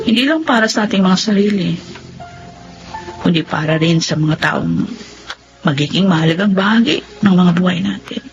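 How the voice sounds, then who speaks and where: An actress playing a gentle, grateful expectant mother in a radio drama, speaking reflectively and from the heart. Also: fairly low pitch. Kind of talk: Tagalog radio drama acting. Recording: muffled, clear music bed.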